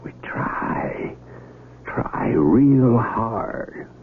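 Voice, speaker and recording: An actor playing a calm, authoritative army surgeon who soothes his patient while probing and dodging his questions. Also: medium pitch, extremely slow. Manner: soothing yet probing, evasive. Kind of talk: 1970s radio drama acting. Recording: tinny old radio broadcast with hiss.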